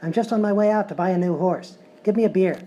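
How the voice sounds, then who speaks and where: A cocky little outlaw, acted by a man for live Western sketch comedy. He talks in a terse, deadpan way. There is faint noise on the recording. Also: high voice, fast, close to an everyday voice, low-quality recording. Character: short, cocky cowboy outlaw in silly disguise. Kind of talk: live radio-style sketch comedy acting. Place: live performance in a hotel venue.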